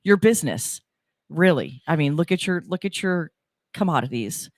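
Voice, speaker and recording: A woman's voice: sits low, animated, moderate pace, no echo, clean studio sound. A woman hosting a community radio show in a chatty, upbeat way, her nose stuffy. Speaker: radio host.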